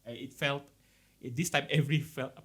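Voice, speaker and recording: Male podcast co-host, Malaysian accent, relaxed conversational storytelling, code-switches between English and Malay. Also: medium pitch, fairly quick, slightly rough. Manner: mixes English with Malay, explaining as they go. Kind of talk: casual chat, reading out listener horror stories.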